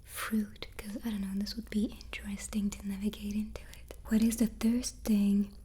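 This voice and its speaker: A woman's voice: mid-range voice, level tone, moderate pace, husky. A woman hosting a whispered ASMR chat, answering questions while snacking on cookies close to the microphone. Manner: soft unvoiced whisper throughout. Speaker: ASMR host.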